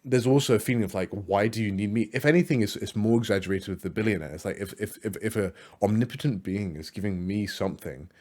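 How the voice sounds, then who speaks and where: A man's voice: lowish voice, quick pace, few pauses, darkish tone. A man giving casual, rambling reaction commentary on other creators' videos, with many fillers and restarts.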